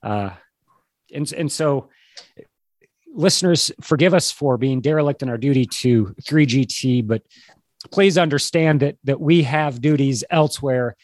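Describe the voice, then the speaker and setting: A man co-hosting a podcast in casual conversation, a bit congested from a cold, speaking in an earnest, halting, wordy way. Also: medium pitch, a little mellow, dry recording. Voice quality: slightly stuffy, congested. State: struggling with a cold. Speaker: podcast co-host. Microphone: video call.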